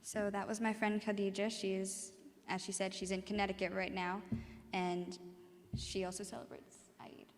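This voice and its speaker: A woman's voice: medium pitch, flat delivery, normal speed. A young woman giving a casual presentation, hesitant with many fillers. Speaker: student presenter. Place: chapel hall. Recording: long reverb in a hall.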